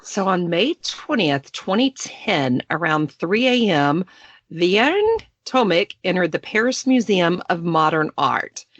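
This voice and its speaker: A woman's voice: lowish voice, expressive, takes it slowly, no echo, highs cut off. A woman in her late forties from Texas with a Texan accent, hosting a podcast in a chatty, playful way. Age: 40s. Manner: chatty, playful, self-deprecating. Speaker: podcast host. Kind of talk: casual podcast chat.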